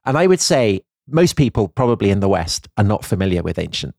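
A man's voice: fairly low pitch, big pitch swings, brisk, gravelly, darkish tone, no echo, studio quality. A man co-hosting a history podcast, explaining at length in a thoughtful way and often hedging with "I think.". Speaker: podcast co-host.

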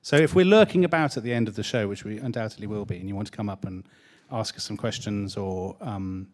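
A man's voice: on the low side, quick pace. A man co-hosting a live talk show, dry and teasing with his partner. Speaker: podcast co-host at a live show. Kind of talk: live conversation.

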